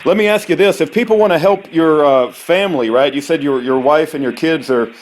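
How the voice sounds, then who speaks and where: A man conducting a casual, supportive phone interview, affirming the caller and pausing often as he phrases his thoughts. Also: fairly high pitch. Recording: faintly noisy phone call recording.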